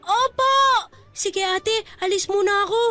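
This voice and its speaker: A woman's voice: extremely high pitch, unhurried. A woman voicing an innocent childlike boy. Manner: childish, naive questions. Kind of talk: Tagalog radio drama acting. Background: background music.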